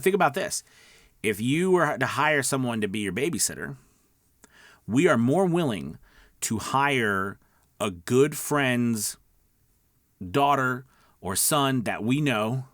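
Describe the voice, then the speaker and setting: A man's voice: lowish voice, fairly bright tone, no room sound, studio quality. A man giving an informal, emphatic coaching talk to viewers as a video host, often checking in conversationally. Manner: emphatic, conversational, repeats check-in words like okay and all right.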